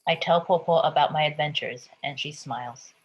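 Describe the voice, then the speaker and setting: A woman from Taiwan, a picture book author, reading her book aloud gently and then chatting about it. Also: low voice, normal speed, slightly rough, muffled recording. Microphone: video call.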